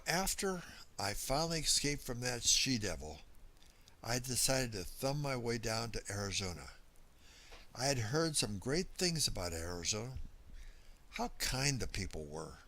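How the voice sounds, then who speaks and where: A cheerful little middle-aged drifter acted by a man tells his own story as a dramatic monologue. His pitch swings widely around a medium register. He rambles in a folksy, confiding way, like a good-natured drinker, and leaves dramatic pauses. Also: fairly bright tone, exaggerated voice. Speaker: story reader. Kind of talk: dramatic first-person monologue reading.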